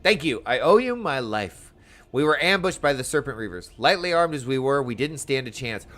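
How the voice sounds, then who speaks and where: A man's voice: mid-range voice, big pitch swings, normal speed, a bit bright. A man voicing a grateful, desperate wounded soldier from a fantasy game, earnest and pleading. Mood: grateful, shaken. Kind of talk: reading game dialogue aloud in character.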